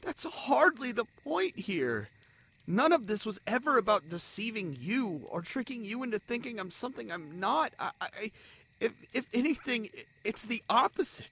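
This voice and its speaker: A man voicing a young spider adventurer and secret lost prince in a fantasy audio roleplay, snarky, anxious and haltingly flustered. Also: high voice, sing-song, bright, forceful tone, slightly rough, heavily acted. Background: crickets, night forest ambience.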